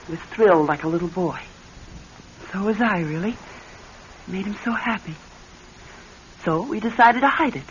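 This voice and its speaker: An actress playing an earnest, wistful wronged wife, in the theatrical manner of classic radio drama. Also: female voice, mid-range voice, moderate pace, pauses often, close to an everyday voice. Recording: muffled old radio broadcast with faint hiss.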